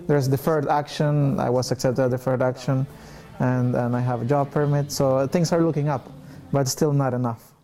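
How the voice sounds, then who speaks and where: A man in his twenties from El Salvador, a native Spanish speaker, talking in a reflective, soft-spoken way in an interview. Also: mid-range voice, steady tone, moderate pace. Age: 20s.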